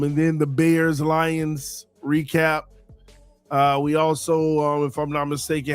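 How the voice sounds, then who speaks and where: Male sports host, solo live show, sing-song hype delivery, voice going hoarse, dry mic. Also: on the high side, no room sound. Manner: sing-song, hyped morning greetings. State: losing his voice.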